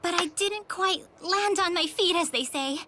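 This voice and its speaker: Very high, gushing cat lady. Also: measured pace, background noise. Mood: dramatic distress. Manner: gushing, melodramatic, pun-laden. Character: theatrical, helpless cat-loving lady who speaks in cat puns. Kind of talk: video game voice acting.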